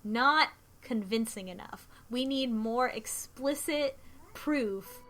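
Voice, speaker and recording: A woman co-hosting a casual chat, retelling a story informally and haltingly, acting out bits of dialogue. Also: fairly high pitch, a bit bright. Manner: informal, halting retelling with quoted dialogue in her own words. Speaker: podcast co-host. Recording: music playing behind the voice. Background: music.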